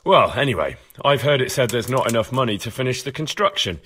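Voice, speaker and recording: A man's voice: mid-range voice, expressive, fast talker, fairly bright tone, a little hiss or hum, lightly acted. A man playing an earnest, persuasive young medieval investigator in a video game, polite, probing and firm. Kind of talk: video game cutscene acting.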